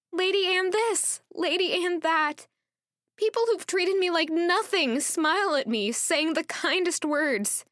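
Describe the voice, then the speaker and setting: Casting: actress as troubled young lady-in-waiting, high voice, earnest and emotive, fantasy audio drama, dry close recording. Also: fairly bright tone, no echo, heavily acted. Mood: wry, disillusioned. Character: anguished young former maid turned lady-in-waiting.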